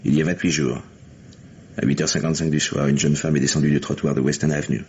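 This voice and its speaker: Very deep, gravelly police lieutenant. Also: male voice, flat delivery, quick pace, a little mellow. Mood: somber. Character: earnest, methodical New York police lieutenant. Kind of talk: French mystery radio play acting. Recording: muffled, hissy vintage radio recording.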